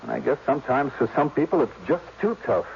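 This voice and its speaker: A man acting in a radio drama as an earnest, compassionate character who muses haltingly on how hard life is. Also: medium pitch, sing-song. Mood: earnest, sorrowful. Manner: halting, reflective, repeating himself for emphasis. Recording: dull, noisy old radio recording.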